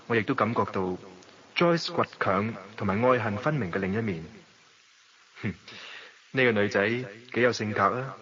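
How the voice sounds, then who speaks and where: An actor playing a suave, romantic bachelor, measured and indulgent, in a Hong Kong Cantonese radio drama. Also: male voice, on the low side, a bit bright, slightly rough, muffled recording, heavily acted. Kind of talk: radio drama dialogue and reflective narration.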